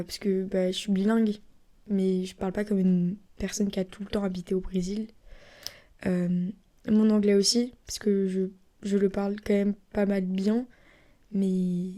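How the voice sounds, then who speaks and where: Young woman rambling on her podcast, soft whispery bits, mellow voice. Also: medium pitch. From France. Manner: chatty, rambling, drops into soft whispery asides. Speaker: podcast host. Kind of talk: casual solo podcast chat.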